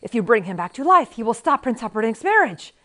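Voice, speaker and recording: A woman's voice: on the high side, sing-song, fast talker, pushed and bright, voice pushed up. A woman voicing a proud, hot-tempered male swordsman who speaks urgently and indignantly.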